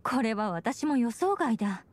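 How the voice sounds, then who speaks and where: A woman voicing a composed young female knight leader in an anime-style game, formal and measured. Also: fairly high pitch, sing-song. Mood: composed, serious.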